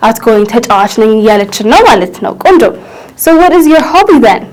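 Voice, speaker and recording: A woman in her twenties with an Ethiopian accent, teaching English and switching languages, slowing down to spell out phrases. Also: a little high, very animated, low-quality recording. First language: Amharic. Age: 20s. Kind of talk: bilingual English lesson.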